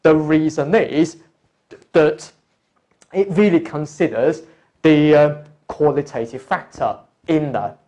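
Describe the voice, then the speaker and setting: Mellow-voiced man teaching an accounting course. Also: on the high side. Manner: deliberate, instructional, often addressing the learner. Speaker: accounting exam course tutor. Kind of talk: explanatory lecture, talking through examples.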